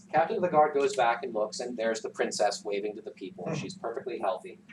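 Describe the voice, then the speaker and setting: Animated male storyteller, echoey noisy room. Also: medium pitch. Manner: animated, conversational, pausing for asides. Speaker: teacher telling a folktale to a class. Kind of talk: informal oral storytelling. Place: classroom, recorded from some distance. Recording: roomy, distant classroom recording with background noise.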